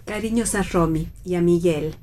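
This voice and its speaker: A woman's voice: lowish voice, normal speed, warm tone, lightly acted. An Argentine woman playing a fretful, guilt-tripping, superstitious mother in a radio play reading. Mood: fussing. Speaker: actress in a read-theatre radio play.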